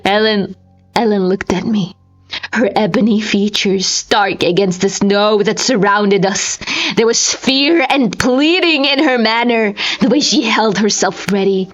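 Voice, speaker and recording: A woman's voice: medium pitch, lo-fi sound, soft background music. A woman giving a very dramatic, theatrical reading of a horror story. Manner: theatrical, slow, with whispers and anguished outbursts. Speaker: amateur audiobook narrator.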